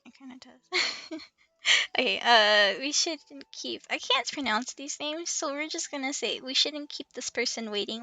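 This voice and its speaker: A woman with a high, bright voice hosts a casual game stream. There is noticeable fry in her playful, giggly delivery. She sounds close and dry as she chats and reads out game text. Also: dry recording. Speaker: livestream host playing an online game. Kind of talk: casual chat with game commentary and dialogue read aloud.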